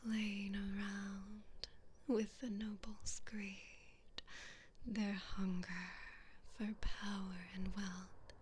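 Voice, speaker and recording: Woman whispering as a slow, haughty evil queen. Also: mid-range voice, flat delivery, darkish tone, a little hiss or hum. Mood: teasing, seductive. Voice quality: breathy whisper. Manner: slow, drawn-out, condescending, seductive. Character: haughty, teasing evil queen toying with her prisoner. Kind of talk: whispered ASMR roleplay.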